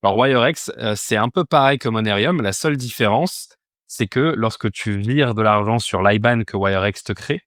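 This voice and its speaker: Low-pitched man explaining informally. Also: normal speed, fairly bright tone, no room sound, studio quality. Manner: explanatory, poses questions then answers them. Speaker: instructor teaching a crypto finance course. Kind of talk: informal step-by-step tutorial with screen demonstration.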